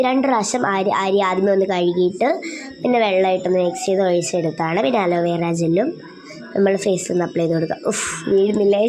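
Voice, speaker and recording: A woman's voice: mid-range voice, darkish tone. A woman with a Malayali accent narrating a skincare vlog in a casual, informal way, hesitating as she talks directly to viewers. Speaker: skincare vlogger.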